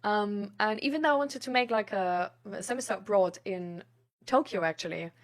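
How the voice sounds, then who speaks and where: A woman's voice: medium pitch, normal speed. A woman in casual, rambling chat, thinking aloud in an informal way.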